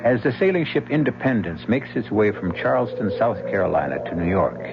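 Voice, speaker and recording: A man's voice: fairly low pitch, sing-song, fairly quick, heavy background noise. A man hosting and narrating a radio mystery series in a theatrical style, with an urbane, knowing tone. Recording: muffled old radio broadcast with music.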